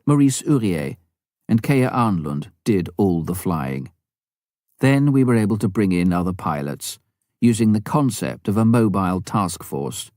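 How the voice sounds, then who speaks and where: A man reading a memoir aloud as scripted audiobook narration, in a measured, formal manner. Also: sits low, mellow, dry recording, clean studio sound. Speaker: audiobook reader.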